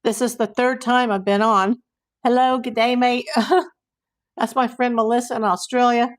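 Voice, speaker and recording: A woman hosting a casual livestream, hoarse and losing her voice, rambling in exasperation while she reads viewers' comments. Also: on the high side, little pitch movement, moderate pace. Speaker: YouTube livestream host.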